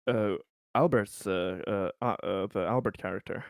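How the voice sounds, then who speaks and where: A man chatting casually as a roleplaying player, halting and repeating words as he searches for phrasing. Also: on the low side, vocal fry, no room sound, clean studio sound. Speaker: player in a tabletop roleplaying game. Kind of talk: casual game chat.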